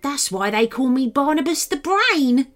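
A woman's voice: high voice, expressive, a little slow, a bit bright, faint music behind, voice pushed up. A woman voicing a cheerful, boastful little male gnome in a children's story, squealing and eager to persuade. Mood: cocky.

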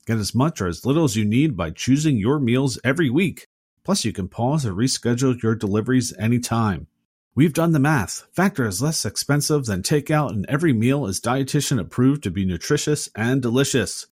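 A man's voice: mid-range voice, animated, fairly quick, a little mellow, slightly rough, no echo, clean studio sound. A man who hosts a podcast, reading a scripted advertisement. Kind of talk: scripted ad read.

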